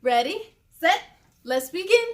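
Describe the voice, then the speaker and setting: A woman hosting a children's read-aloud, speaking in an expressive, deliberate, sing-song way to young listeners. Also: very high-pitched, no room sound. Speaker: host of a read-aloud story series. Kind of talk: expressive children's storybook reading.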